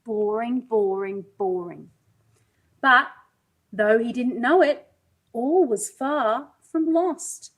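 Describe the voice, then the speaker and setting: Lady doing storytime for preschoolers, high voice, unhurried, sing-song and chatty, bit of background noise. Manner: expressive, sing-song, questioning young listeners. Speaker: pre-school teacher reading a storybook aloud. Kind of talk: children's storybook reading with asides to young listeners.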